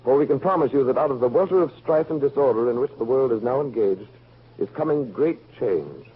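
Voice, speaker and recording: A man's voice: medium pitch, expressive, brisk, husky. An actor playing an earnest, patriotic man in 1940s radio drama, speaking in a formal, oratorical way. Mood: grave, urgent. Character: earnest, patriotic man Nicky reading a wartime address. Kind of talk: 1940s American radio acting. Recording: muffled old 1940s radio recording.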